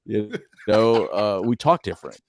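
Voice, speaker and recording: A man's voice: fairly low pitch, measured pace, vocal fry. A middle-aged man with an American accent talking casually and reflectively as a podcast co-host, earnest and rambling, repeating phrases for emphasis. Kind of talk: casual reflective relationship talk.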